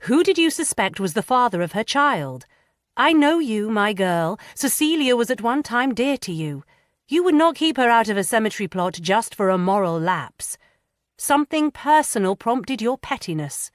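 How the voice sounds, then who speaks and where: A woman's voice: on the high side, big pitch swings, moderate pace, dry recording, studio quality, pitched above the performer's own voice. A woman voicing a firm but caring young lady in an audiobook, insistent and soothing by turns. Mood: probing. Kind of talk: audiobook character voice.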